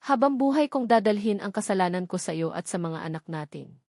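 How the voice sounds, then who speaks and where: A woman's voice: mid-range voice, animated, fairly quick, darkish tone, dry recording, clean studio sound. A woman voicing a remorseful young father in a love story, pleading earnestly with emphatic repetition. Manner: pleading, emphatic repeated phrases. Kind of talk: dramatic audio love story.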